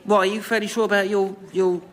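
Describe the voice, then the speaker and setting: A man as an earnest dim fellow, high-voiced. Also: flat delivery, fast, close to an everyday voice. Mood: blankly sincere. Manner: hesitant, matter-of-fact. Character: hapless, mild-mannered man, earnest and dim. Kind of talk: radio sketch comedy acting.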